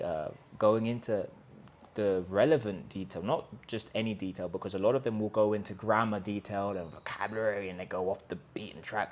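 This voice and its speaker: A man with a fairly low voice delivers an emphatic talk to camera. He stacks up rhetorical questions and restarts his sentences as he pushes his point. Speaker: host of a language-learning channel. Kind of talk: direct-to-camera pitch talk. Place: in Colombia.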